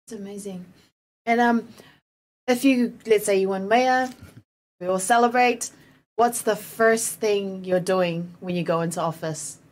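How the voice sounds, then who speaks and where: A woman's voice: mid-range voice, unhurried, a little mellow. A young woman interviewing a guest in a small studio, asking polite, tentative questions at a desk microphone. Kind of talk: conversational interview. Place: small studio with decorated backdrop.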